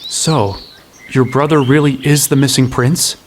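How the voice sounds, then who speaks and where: An actor playing an impulsive, good-natured young hero in an audio drama, quick with baffled wisecracks. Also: male voice, mid-range voice, fairly even intonation, a little hiss or hum, lightly acted. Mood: confused, surprised. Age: young adult. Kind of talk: audio drama acting and first-person story narration.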